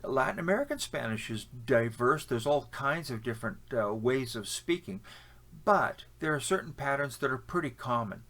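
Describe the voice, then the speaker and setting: A man's voice: mid-range voice, lively intonation, normal speed, a bit bright. A man with a Canadian accent, giving opinionated, conversational advice to camera with frequent fillers and restarts. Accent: Canadian English. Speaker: language-learning vlogger. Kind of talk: casual advice talk to camera.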